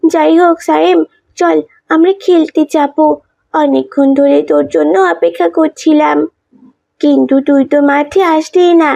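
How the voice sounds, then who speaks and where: Kind little kid playmate, high mellow voice, cartoon, slightly muffled audio. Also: female voice, fairly even intonation, a little slow, creaky, dry recording. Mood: friendly, sympathetic. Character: sympathetic village child, friendly playmate. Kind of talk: cartoon voice acting.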